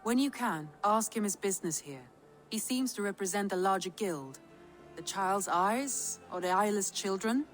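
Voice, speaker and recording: A woman acts a composed Viking steward in a game cutscene. Her voice is mid-pitched, polite and businesslike, with faint music underneath. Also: expressive, moderate pace, close to an everyday voice. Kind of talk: video game cutscene acting.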